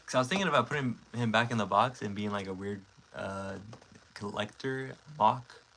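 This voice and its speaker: A man's voice: lowish voice, moderate pace, fairly bright tone, a little hiss or hum. A man in his twenties hosting a casual livestream, rambling with lots of fillers and self-corrections while chatting with viewers. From Mexico. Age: 20s. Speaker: stop-motion creator hosting a livestream. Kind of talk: casual livestream chat, reading comments.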